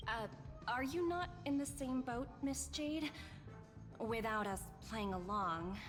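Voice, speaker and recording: Young finance executive, video game. Assured, polite, articulate, mid pitch, long reverb, music behind. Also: female voice, expressive, takes it slowly. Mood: confident, businesslike. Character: confident, businesslike young female finance executive.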